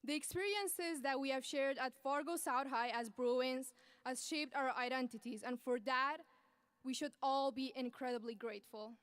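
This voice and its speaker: A young woman from Afghanistan speaks as a graduating student, her voice high and smooth. She delivers an earnest, measured speech into a podium mic. The sound is close and dry. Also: fairly even intonation, fairly bright tone, no room sound. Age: young adult. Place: large ceremony venue.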